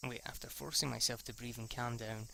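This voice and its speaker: Very bright-voiced man reading a game aloud. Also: medium pitch, steady tone, fairly quick, gravelly, slight background noise. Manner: stumbles over words and rereads lines; casually foul-mouthed when reacting. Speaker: let's player reading a visual novel aloud. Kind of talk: amateur read-aloud narration with off-the-cuff reactions.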